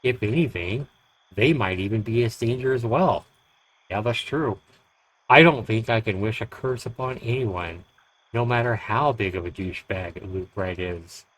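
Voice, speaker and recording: A man reading a horror story aloud as dramatic narration, with deliberate, expressive phrasing. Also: on the low side, rough recording. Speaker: streamer reading a visual novel aloud.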